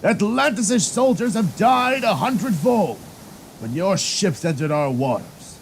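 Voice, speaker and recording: A man's voice: high voice, sing-song, a little slow, vocal fry, background noise, full character voice. An actor playing a proud, wrathful sea king in an audio drama, imperious and declaiming. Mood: furious. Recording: music under the voice.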